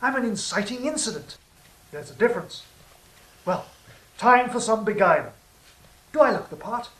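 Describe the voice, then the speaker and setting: Actor as sly serpent tempter, medium pitch, teasing, smug, theatrical, roomy echo, audio drama. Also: animated, moderate pace, a bit bright, recorded from a distance, close to an everyday voice. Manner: teasing, mock-affectionate, self-satisfied. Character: gleefully sly shapeshifting serpent, the tempter of Eden.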